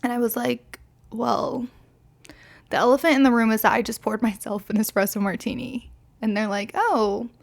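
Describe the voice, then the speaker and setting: A woman's voice: fairly high pitch, fairly even intonation, moderate pace, gravelly. A woman in her twenties, slightly tipsy, rambling candidly through a casual solo podcast with lots of fillers and swearing. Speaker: podcast host.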